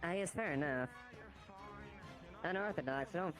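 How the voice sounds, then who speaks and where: A man's voice: on the high side, normal speed. Man talking casually with music behind. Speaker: role-play participant. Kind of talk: casual chat.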